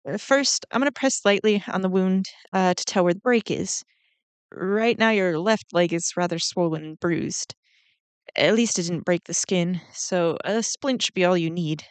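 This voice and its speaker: A woman voicing a kindly, flustered giant ant queen in a roleplay audio drama, speaking gently and hesitantly to the listener. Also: lowish voice, smooth, no room sound, lightly acted. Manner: gentle, hesitant, rambling with small nervous laughs.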